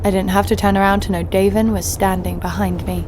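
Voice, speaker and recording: A woman's voice: mid-range voice, flat delivery, fairly quick, background noise, natural voice. An actress playing a cheeky, sardonic female thief and killer, narrating her own escapades in an audio drama. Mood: wry. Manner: wry, sardonic. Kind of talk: first-person audio drama narration with acted dialogue. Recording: loud music bed under voice.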